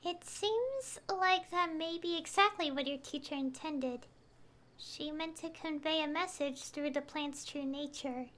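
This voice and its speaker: High-voiced calm young traveler. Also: female voice, measured pace, slight background noise. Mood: reassuring. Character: calm, kind, reassuring young traveler hero. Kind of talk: video game voice acting.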